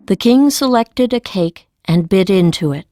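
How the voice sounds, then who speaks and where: A woman's voice: medium pitch, lively intonation, unhurried, dark tone, dry recording, studio quality. A woman reading scripted story narration as the narrator of an audiobook.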